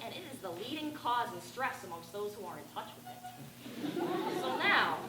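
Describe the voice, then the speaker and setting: A teenage girl playing an eccentric, rambling bag lady who talks to aliens, with a raspy voice and a ranting, confiding stage-monologue delivery. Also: high-pitched. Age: teens. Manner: ranting, confiding, addressing passers-by. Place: stage performance. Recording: echoey room with background noise.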